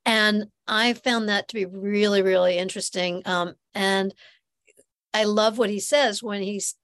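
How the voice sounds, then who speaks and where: A woman's voice: medium pitch, steady tone, fairly bright tone. A woman hosting a podcast, asking a guest thoughtful questions with frequent ums between her thoughts. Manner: thoughtful, fills pauses with ums. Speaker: podcast host. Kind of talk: interview questions.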